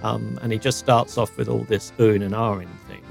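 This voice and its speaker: A man's voice: lowish voice, steady tone, brisk, creaky, soft background music. A man co-hosting a podcast, reflective and agreeable, humming along in casual chat. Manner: reflective, agreeable, frequent hums. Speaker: podcast co-host.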